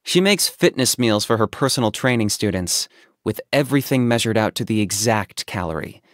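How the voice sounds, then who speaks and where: Male actor as stoic young monster-hunting mercenary for a game. Lowish register, dry close sound, pragmatic, measured deadpan. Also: no echo, lightly acted. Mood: calm, dry. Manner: deadpan, matter-of-fact, measured. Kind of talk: scripted video game character monologue.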